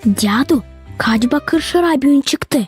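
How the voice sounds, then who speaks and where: A woman's voice: sits high, expressive, slow pace, a little mellow. A woman voicing a small, sweet, curious child in a children's cartoon. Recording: music behind the voice.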